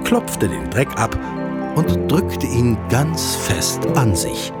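A man's voice: medium pitch, huge pitch swings, slow pace. A man narrating a scripted children's audio drama as a storyteller. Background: music.

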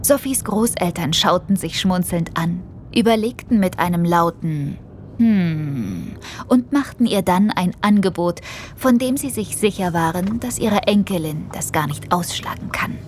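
A woman narrating a scripted audio story for children, expressively and with deliberate emphasis. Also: on the low side, expressive, a little mellow, slight background noise.

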